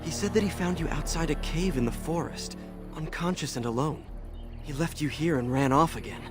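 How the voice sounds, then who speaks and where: A man's voice: a little high, fairly quick, close to an everyday voice. A man playing a concerned, friendly young elf clansman in a fantasy game, earnest and plain-spoken. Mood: relieved, concerned. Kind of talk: fantasy game dialogue acting. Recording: music behind the dialogue. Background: background music.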